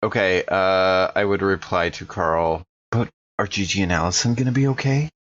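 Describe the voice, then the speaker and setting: A man's voice: sits low, moderate pace, fairly bright tone, highs cut off. A man playing in a tabletop role-playing game, talking casually in and out of character. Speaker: player.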